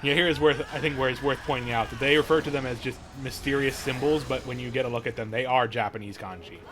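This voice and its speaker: A man's voice: medium pitch, quick pace. A man giving casual live stream game commentary, rambling and often rephrasing mid-thought, with game music behind him.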